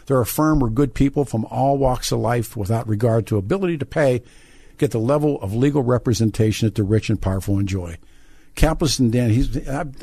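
An American man hosting a call-in radio show, who talks discursively, halting and repeating words as he thinks aloud. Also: mid-range voice, vocal fry, a little mellow, no room sound. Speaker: radio talk show host. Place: radio studio. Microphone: close studio microphone.

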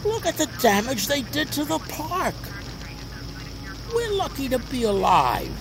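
An actress playing a no-nonsense police officer in an audio drama, brusque and commanding. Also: female voice, sits high, huge pitch swings, unhurried, noisy background, heavily acted. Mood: bewildered. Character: no-nonsense, bewildered police officer on park patrol.

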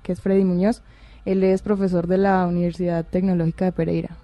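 A woman's voice: fairly low pitch, level tone, a little fast, warm tone. A woman in her twenties with a Colombian accent, answering interview questions in an informal, hesitant way as a musician guest. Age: 20s. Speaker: orchestral violinist, interview guest. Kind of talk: casual, informal interview talk.

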